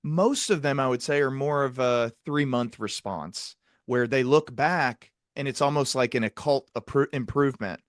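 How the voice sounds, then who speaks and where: A man's voice: medium pitch, normal speed, hardly any pauses, no echo, studio quality. A man hosting a conversational clinical discussion, speaking earnestly and repeating words for emphasis. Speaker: podcast host, psychiatrist.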